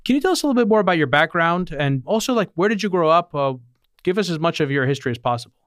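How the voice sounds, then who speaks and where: A man hosting a podcast interview, asking eager, quick questions with small restarts. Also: fairly high pitch, animated, a bit bright, no echo, clean studio sound. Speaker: podcast host.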